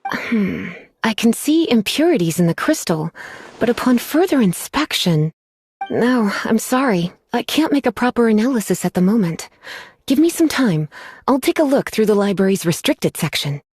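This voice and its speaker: A woman voicing a languid, teasing witch librarian in a video game, with a breathy, coy delivery. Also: a little high, big pitch swings, normal speed, darkish tone, heavily acted. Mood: thoughtful.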